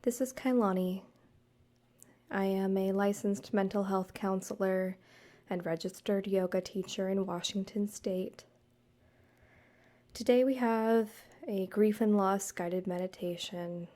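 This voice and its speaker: Slow, mellow woman guiding meditation. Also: medium pitch, fairly even intonation. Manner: measured, soothing, deliberate pauses. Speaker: guided meditation host, counselor and yoga teacher. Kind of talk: gentle guided meditation narration, addressed to the listener.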